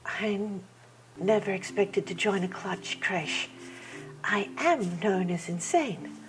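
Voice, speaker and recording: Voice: mid-range voice, lively intonation, takes it slowly, pitched above the performer's own voice. A performer voicing a timid female bird-like alien scientist, formal, deferential and chirpy, in an audiobook story. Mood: humble, reverent. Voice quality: chirpy, singsong. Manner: meek, deferential, formal pleading. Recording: music bed behind.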